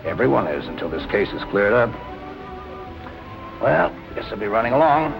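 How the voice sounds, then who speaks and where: An actor playing a wry, persistent police captain with a gravelly voice, in 1940s film noir style. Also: male voice, on the low side, very animated, moderate pace, lo-fi sound. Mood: wry, probing. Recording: old film soundtrack, music behind.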